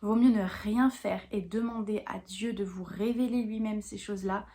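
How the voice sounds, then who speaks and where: A woman's voice: mid-range voice, fairly even intonation, normal speed, dark tone. French woman explaining faith matters earnestly. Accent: French from France. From France. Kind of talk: explanatory faith talk to viewers.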